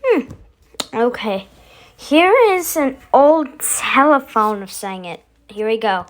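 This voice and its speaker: A woman's voice: on the high side, expressive, slow. A woman hosting casually, musing aloud as she announces each step of a voice effects demonstration. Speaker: video host.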